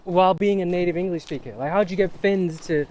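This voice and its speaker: A man's voice: high voice, quick pace, darkish tone. A man hosting a casual interview while walking outdoors, asking eager, curious questions. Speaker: podcast host. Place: outdoors in a park.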